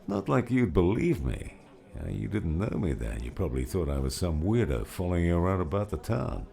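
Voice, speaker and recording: A man voicing a gruff, laid-back older man with a gravelly voice and a teasing, fatherly manner in an audiobook. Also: deep voice, sing-song, moderate pace, warm tone, exaggerated voice. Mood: wry, reflective. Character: gruff, casual older grey-haired man, wry and fatherly. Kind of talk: audiobook character dialogue.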